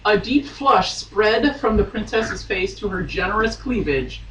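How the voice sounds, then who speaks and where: A man's voice: sits high, lively intonation, measured pace, fairly bright tone. A man giving a theatrical dramatic reading of a romance novel, dropping into amused asides. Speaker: guest reader on a podcast. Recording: roomy, distant mic, faint noise.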